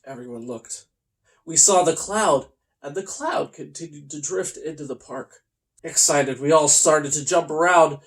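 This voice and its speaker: A host reading a comic children's storybook aloud in a theatrical, mock-earnest way with deliberate pauses. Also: mid-range voice, rough recording.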